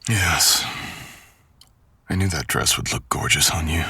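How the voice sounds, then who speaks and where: Man voicing a very deep, gravelly seductive stalker. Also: sharp tone, no echo, heavily acted. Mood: sultry, commanding. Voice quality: gravelly, breathy.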